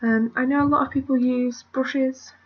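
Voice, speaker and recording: Chatty British woman, husky and lo-fi. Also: sits high, fairly even intonation, moderate pace. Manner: chatty, rambling, drops to mutters and whispers. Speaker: art tutorial creator. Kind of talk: casual screen-recorded drawing tutorial. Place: at her family home, indoors. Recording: dull lo-fi home recording.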